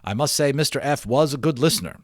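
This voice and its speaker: A man's voice: mid-range voice, fast talker, no echo, studio quality. A man giving a dramatic storybook read-aloud of a children's novel, with theatrical, emphatic phrasing.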